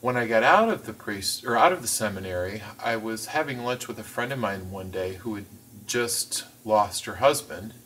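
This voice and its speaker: A man voicing a signed interview as interpreter, phrasing things in a measured, careful way with the occasional restart. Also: lowish voice, expressive, a bit bright, distant mic, slight background noise, rough recording. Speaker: sign language voice interpreter.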